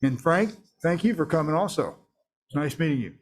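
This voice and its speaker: A man with a husky voice informally chairing a council meeting and addressing speakers. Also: on the high side, expressive, brisk, faint music behind.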